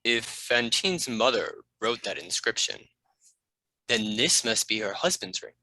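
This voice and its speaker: A man voicing a sensible talking cat sidekick in a cartoon comedy, speaking in a forceful, questioning way. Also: mid-range voice, animated, measured pace, a little hiss or hum, rough recording. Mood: serious. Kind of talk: animated comedy table read.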